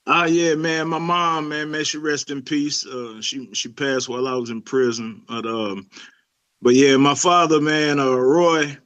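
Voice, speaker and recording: A man's voice: fairly high pitch, fairly even intonation, a little slow, a bit bright, no echo. A middle-aged man, a youth pastor, talking casually in an interview, laid-back, with many fillers and restarts. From Lebanon.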